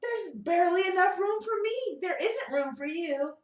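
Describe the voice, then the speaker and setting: Lady doing a fussy tiny ant, highish voice, echoey room. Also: lively intonation, normal speed. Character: small fussy ant guarding his spot. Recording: echoey room, mic at a distance.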